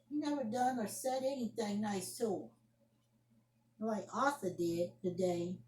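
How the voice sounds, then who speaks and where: A woman's voice: on the high side, a little mellow. A woman playing an indignant young woman scolding someone over the phone in an amateur solo drama, emphatic and repeating her phrases. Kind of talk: amateur solo acted monologue, one side of a phone argument. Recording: roomy echoing home recording.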